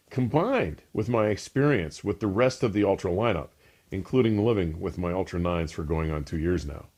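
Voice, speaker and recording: A man with a low voice delivers a scripted equipment review with very lively intonation. His phrasing is polished and emphatic, like a keen reviewer hosting his own show. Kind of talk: scripted product review narration.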